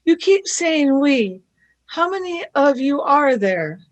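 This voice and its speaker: A woman's voice: high voice, sing-song, takes it slowly, heavily acted. A woman in a radio play acting an earnest, eager young companion with a pleading manner. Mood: curious, urgent.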